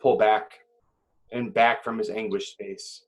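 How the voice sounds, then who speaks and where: Slow-paced man narrating a screenplay. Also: lowish voice, little pitch movement. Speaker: narrator reading screenplay directions. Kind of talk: dramatic scripted narration at an amateur table read.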